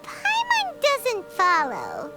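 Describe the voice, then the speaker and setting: Game fairy sidekick: extremely high, squeaky little-girl voice, curious and blunt, wildly animated, over prominent music. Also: very slow, exaggerated voice. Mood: puzzled, blunt. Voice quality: squeaky, childlike. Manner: refers to self by name. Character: small childlike female fairy companion, curious and blunt. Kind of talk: video game voice acting.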